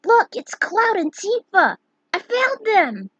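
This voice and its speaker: A little girl in a story reading, pitched very high and shrill. She is excitable and bursts out with her words. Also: slow, dry recording, lo-fi sound, heavily acted. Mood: excited.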